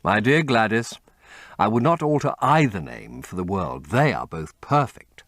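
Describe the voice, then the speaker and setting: A man doing a languid, witty gentleman in a story reading, low-voiced and drawling, his pitch rolling up and down through each remark. Close, dry recording. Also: sing-song, unhurried, no echo, full character voice. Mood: amused.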